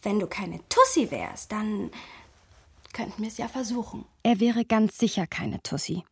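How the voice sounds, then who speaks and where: A woman's voice: mid-range voice, unhurried, gravelly, breathy edge, heavily acted. An actress playing a wry, playful young woman who narrates memories of a friend in an intimate radio play style. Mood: playful, teasing.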